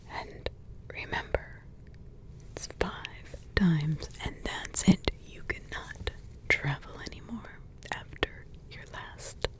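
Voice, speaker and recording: A woman voicing a protective witch grandmother in an ASMR roleplay, in a soft whisper with slow, rambling, repetitive asides. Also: on the low side. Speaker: ASMR creator.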